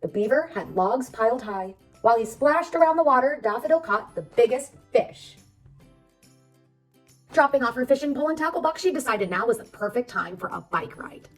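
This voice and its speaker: A woman reading a children's storybook aloud as narrator, expressive and addressing young listeners. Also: on the high side, moderate pace, far from the mic. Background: background music.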